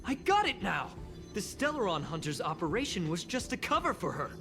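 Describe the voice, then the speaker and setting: An actor playing an excitable young space station investigator who puzzles aloud in scripted game dialogue. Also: mid-range voice, brisk. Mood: excited. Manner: animated, pondering aloud. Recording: background music under dialogue.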